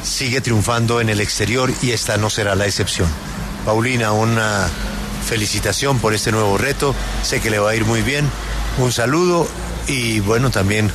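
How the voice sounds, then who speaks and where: A man's voice: fairly low pitch, normal speed. A male radio host asking a guest probing, playful questions. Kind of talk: interview questions. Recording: clear background noise.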